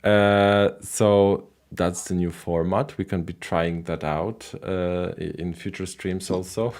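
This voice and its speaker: A man's voice: deep voice, steady tone, slow, a little mellow. A man hosting a casual live call-in stream who talks hesitantly, with many fillers and easy laughter.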